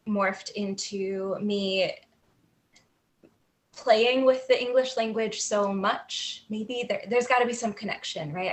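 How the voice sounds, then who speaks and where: A woman guest on an interview, answering in a thoughtful, wry, soft-spoken way. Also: mid-range voice. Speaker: guest author.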